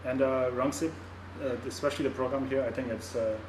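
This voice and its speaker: A man's voice: medium pitch, steady tone, a little fast. A young student from Bhutan describes his program, hesitant and full of fillers. He is heard from a distance in an echoing room. A noticeable room hum runs behind him. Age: young adult. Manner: hesitant, many fillers and "you know". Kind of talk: casual chat. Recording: echoey room, far from the mic.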